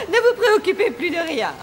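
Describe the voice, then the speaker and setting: Shrill, wicked fairy-tale queen. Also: wildly swooping pitch, moderate pace, slight background noise, heavily acted. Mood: feigned sweetness. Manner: mock-sweet, theatrical, sneering. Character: wicked, haughty sorceress queen, a scheming cruel stepmother. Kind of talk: animated fairy-tale dubbing.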